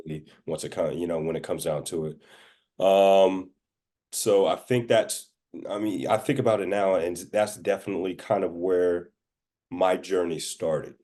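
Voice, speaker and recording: A man's voice: sits low, fairly even intonation, measured pace, mellow. A man from the rural southern United States, talking informally as an interview guest and telling stories in a casual, conversational way. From southern United States, rural Georgia and Florida Panhandle.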